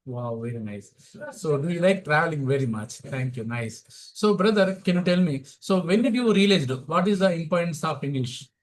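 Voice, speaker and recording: A middle-aged man from India with an Indian accent, hosting a casual English practice chat and speaking in a halting way that starts most lines with "so". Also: fairly high pitch, lively intonation. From Telangana, India. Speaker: host.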